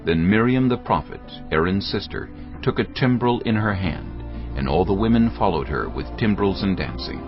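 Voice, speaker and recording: A man narrating scripture in a measured, steady storytelling voice. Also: low voice. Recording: muffled, with music underneath.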